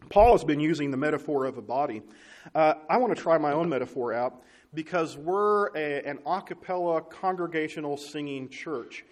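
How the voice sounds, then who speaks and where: A man preaching a conversational sermon to his congregation, often asking them rhetorical questions. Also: on the high side.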